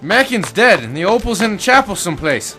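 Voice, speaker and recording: Man as high-pitched, excitable radio cop. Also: brisk, faint music behind, exaggerated voice. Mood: wry. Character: excitable, blunt male police officer, detective's pal. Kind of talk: old-time radio drama acting.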